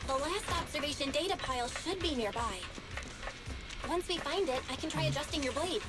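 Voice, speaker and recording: A woman acting as a young female tech guide in a video game, with a high voice over background music. She sounds eager and helpful, talking in a focused, technical way. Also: fairly quick, natural voice. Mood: confident.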